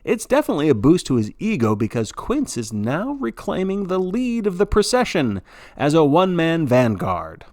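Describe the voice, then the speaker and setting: A man's voice: on the high side, huge pitch swings, normal speed, a little mellow, no room sound, studio quality. A man narrating a story as a sports broadcaster, in a theatrical play-by-play style with wry, folksy asides.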